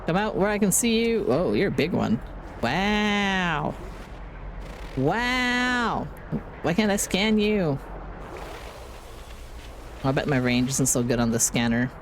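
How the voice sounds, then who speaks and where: Sick woman streamer, lively low-ish voice. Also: normal speed, pauses often, slight background noise. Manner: playful, muttering and chattering to herself. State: sick, voice deepened. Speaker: game streamer. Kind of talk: casual live gameplay commentary.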